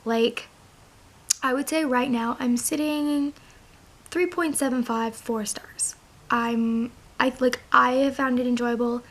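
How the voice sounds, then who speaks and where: A young woman with a hoarse, raspy voice, chatting casually about books as a vlogger, with plenty of filler words. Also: a little high, fairly even intonation, takes it slowly, a bit bright. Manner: chatty, rambling, many "like" fillers. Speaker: book vlogger. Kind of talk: casual chat to camera.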